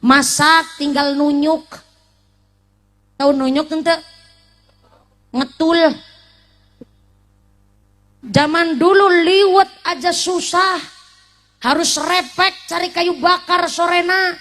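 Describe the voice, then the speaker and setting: A woman's voice: very high-pitched, takes it slowly, long pauses. A woman in her late twenties giving a humorous sermon, teasing the congregation with questions and mixing in regional words. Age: late 20s. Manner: lively, teasing, asks the audience questions, mixes in Javanese and Sundanese words. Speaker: preacher.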